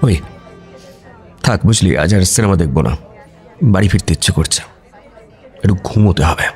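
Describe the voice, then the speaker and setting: An actor playing a playful, pleading young husband in a radio romance, teasing and insistent in a conversational way. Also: male voice, deep voice, moderate pace, darkish tone. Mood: weary pleading. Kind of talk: Bengali radio romance acting. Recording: music bed under the voice.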